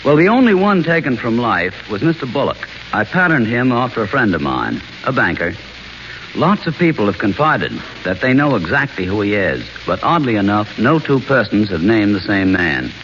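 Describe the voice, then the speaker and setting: A man guesting as an author on a radio show, speaking in measured, earnest prepared remarks. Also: fairly low pitch, very animated, a bit bright. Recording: dull old radio broadcast with faint hiss.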